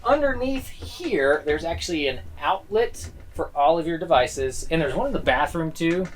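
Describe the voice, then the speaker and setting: A man's voice: on the high side, lively intonation, moderate pace, a little hiss or hum. A man vlogging a casual tour of a small sleeper train cabin, dropping dry joking asides. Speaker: travel vlogger.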